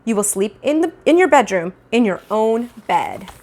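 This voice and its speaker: A woman's voice: fairly high pitch, big pitch swings, moderate pace, darkish tone, a little hiss or hum. A woman voicing an exasperated, firm and coaxing mother of a toddler in a children's storybook. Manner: firm, coaxing, exaggerated intonation. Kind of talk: children's storybook read-aloud.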